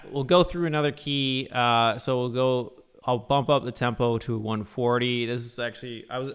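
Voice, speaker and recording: Bright, crisp-voiced guitar teacher, man. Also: medium pitch. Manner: loose, rambling, full of fillers. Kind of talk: casual livestream chat.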